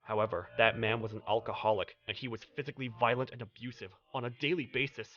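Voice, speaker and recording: A middle-aged single father, voiced by a male actor, sincere and a little weary. He narrates and talks in a scripted manga-dub style at a medium pitch. Music plays clearly underneath. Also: steady tone, fast talker, highs cut off, close to an everyday voice. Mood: somber, explanatory.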